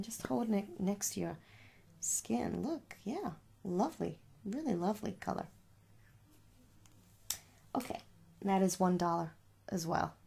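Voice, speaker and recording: Creaky-voiced young woman, ASMR shop roleplay. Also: medium pitch, mellow. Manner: reassuring, repetitive, conversational. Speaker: shopkeeper in roleplay. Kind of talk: ASMR makeup store roleplay, soft casual sales chat. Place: small room at home.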